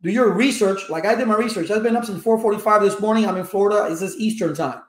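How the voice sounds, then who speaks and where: Cuban-born guy in his 50s, spanish first language, high-ish voice, chatty webcam vlog in a roomy home office. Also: quick pace. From Cuba. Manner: animated, gestures a lot, rhetorical questions. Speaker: network engineering educator. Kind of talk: casual livestream talk to viewers. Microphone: webcam at desk.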